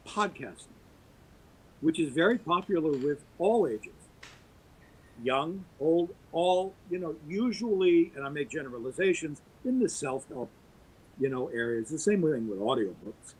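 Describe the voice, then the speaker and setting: A man's voice: big pitch swings, unhurried, husky, poor audio. A middle-aged man, a podcast guest, holding forth at length with emphatic, swooping delivery. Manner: emphatic, wide pitch swings, talks at length. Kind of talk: podcast interview, opinionated monologue.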